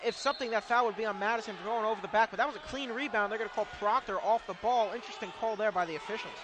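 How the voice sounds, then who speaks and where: American guy doing color on a basketball radio call, pretty high voice, courtside. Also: rapid-fire. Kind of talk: live basketball analysis. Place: courtside in an indoor arena.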